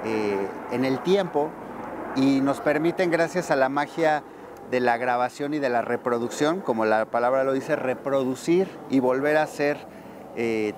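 A man's voice: medium pitch, background noise. A man, explaining thoughtfully in an interview and often searching for words. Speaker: archive deputy director, interviewee. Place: garden outdoors. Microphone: lapel.

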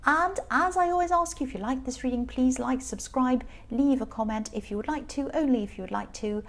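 A woman with a slightly croaky voice giving a chatty, rambling tarot reading full of fillers. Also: sits high, fairly quick. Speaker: tarot reader. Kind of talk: casual spoken tarot reading.

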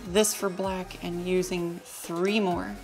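A woman's voice: on the low side, little pitch movement, slow pace, a little mellow, soft background music. A young woman playing a card game at a table, methodically reading card text aloud in casual chat. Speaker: player. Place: indoor studio at a game table.

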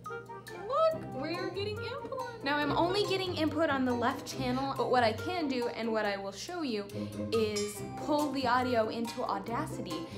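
A young adult woman giving a casual how-to tutorial in a chatty, informal manner. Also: sits high. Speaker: tutorial presenter. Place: small indoor room with bare block walls. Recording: echoey room with music behind. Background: music.

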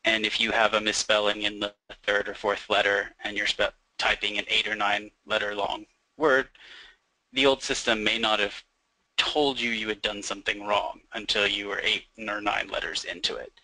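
A young man who works as a software developer, explaining a product casually on a webinar with frequent "um" and "like". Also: fairly low pitch, little pitch movement, normal speed, no room sound, rough recording. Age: young adult. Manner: fluent, uses fillers like "um" and "like".

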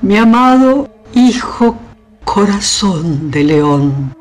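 A woman's voice: mid-range voice, animated, soft background music. An actress playing an imperious, nostalgic old queen mother in a radio drama, with an Argentine accent and theatrical delivery. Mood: regal, commanding. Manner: regal, slow, theatrical.

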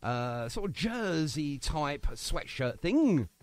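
A man hosting a casual radio interview, chatty and quick with jokey asides. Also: on the high side, big pitch swings. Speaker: radio show host.

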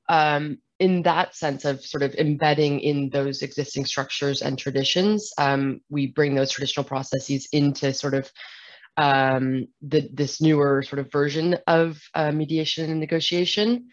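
A woman's voice: sits low, steady tone, measured pace, dry recording. Woman, young adult, panelist, thoughtful, fillers, headset, dry dull audio. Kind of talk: online panel answer. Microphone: headset on video call.

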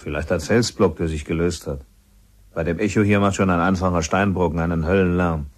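Very deep, husky rustic mountain man. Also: fairly even intonation, a little fast. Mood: matter-of-fact. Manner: chatty, rambling, plain-spoken. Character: widowed rustic mountain-lodge helper, gossipy and resigned. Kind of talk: radio play acting, conversational.

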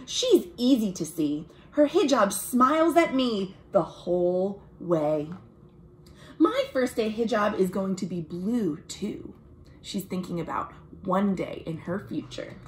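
A woman, reading a children's picture book aloud in an expressive, deliberate way as a storytime host. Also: mid-range voice, slight background noise. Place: small room at home, indoors.

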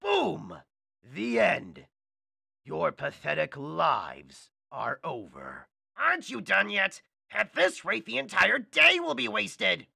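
A man voicing a gloating mad scientist villain with a pompous, theatrical, loud delivery. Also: sits high, wildly swooping pitch, slow pace, vocal fry, husky, dry recording, clean studio sound, exaggerated voice.

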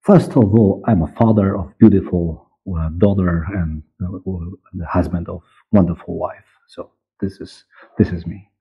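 A middle-aged man from Poland with a Polish accent, answering interview questions in halting English, repeating words as he searches. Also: sits low, husky. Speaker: interview guest, audio director and actor. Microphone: headset or desk mic on video call. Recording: muffled, band-limited video call audio.

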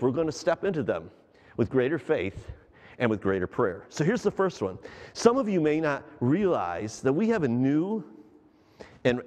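A middle-aged male pastor preaching from a large church stage with an animated delivery, putting questions straight to the congregation. His voice sits at an ordinary middle pitch and carries a long reverb from the hall. The headset mic keeps him up close under that echo. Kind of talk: sermon.

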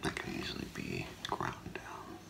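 A middle-aged man whispering in a halting, hushed ASMR role play as an appraiser, breathy and gravelly, close to the mic. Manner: slow, hushed, halting, with long pauses. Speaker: ASMR performer role-playing a gemologist. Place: small curtained room indoors.

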